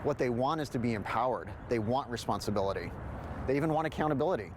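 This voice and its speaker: An American man speaks as a homeless outreach worker out on a city street. He makes his points emphatically in a mid-range voice, with clear urban noise around him. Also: medium pitch, animated, fast. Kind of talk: on-the-street interview and commentary. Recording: noisy outdoor urban street, traffic.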